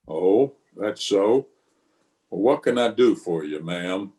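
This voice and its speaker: A man plays a steadfast Old West marshal in a radio drama. His voice is fairly low and very slow, with a grave, measured and courteous manner, calm and a little weary. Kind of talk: old-time radio western acting.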